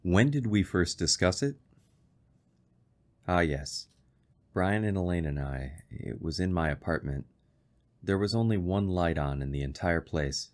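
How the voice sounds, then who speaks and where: An actor playing an earnest, concerned fiance who recounts a strange tale in a radio drama. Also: male voice, deep voice, animated, unhurried, pauses often. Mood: somber recollection. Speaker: lead actor and first-person narrator.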